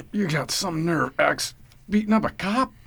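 A man's voice: on the high side, lively intonation, slow pace, creaky, fairly bright tone, full character voice. A man playing a harried, well-meaning local sheriff in a radio play, exasperated and muttering. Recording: car and outdoor noise behind.

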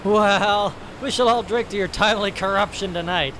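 An actor playing a boastful, menacing pirate captain in an audio drama, with a blustering, swaggering delivery. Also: male voice, sits high, normal speed, noisy background, lightly acted. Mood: hearty.

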